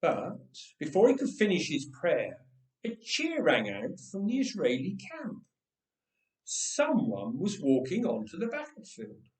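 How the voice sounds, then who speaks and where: Male storyteller, theatrical and slow-paced, wide swings in pitch, recorded in an echoey church. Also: wildly swooping pitch, a little mellow. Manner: theatrical, deliberate. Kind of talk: expressive dramatic story reading. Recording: echoey room, distant from mic.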